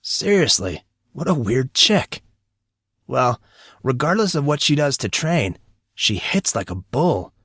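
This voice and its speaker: A man voicing an indignant, excitable teenage high school boy who talks in slangy exclamations. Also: slow, slightly rough, dry recording, studio quality, heavily acted. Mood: dismayed.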